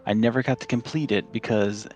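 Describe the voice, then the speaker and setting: A man's voice: mid-range voice, fairly even intonation, brisk, darkish tone, soft background music. A man from Chile, a podcast guest, telling rambling stories in casual chat with lots of fillers and restarts.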